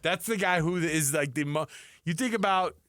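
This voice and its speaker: A man's voice: fairly high pitch, normal speed, a bit bright, no room sound, clean studio sound. A man co-hosting a sports radio show, talking casually and animatedly, acting out what others might say.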